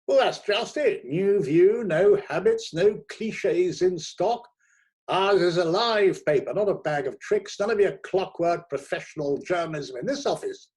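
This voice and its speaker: Man putting on a booming, high-pitched hearty editor. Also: unhurried, a little mellow. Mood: emphatic, bossy. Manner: booming, brusque, clipped exclamations. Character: hearty, overbearing, buoyant male newspaper editor. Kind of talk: audiobook character voice.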